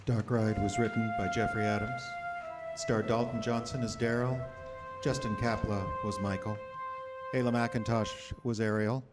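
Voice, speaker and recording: A man's voice: lowish voice, fairly even intonation, moderate pace, loud music behind the voice. A man reading closing credits as a scripted announcer for an audio drama. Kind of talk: scripted narration.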